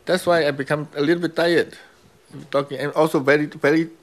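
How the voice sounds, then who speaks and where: A man's voice: on the high side, fairly even intonation, fairly quick. A man with a Burmese accent, a meditation teacher speaking simple, broken English and often checking with "right?". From Myanmar. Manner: broken English grammar, repeats key words, asks "right?". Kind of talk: teaching dialogue with students.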